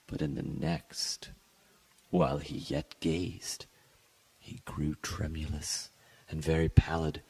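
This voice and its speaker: A man giving a solemn, theatrical, measured dramatic reading of a gothic short story. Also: a bass voice, flat delivery, warm tone, slightly rough. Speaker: literary podcast reader.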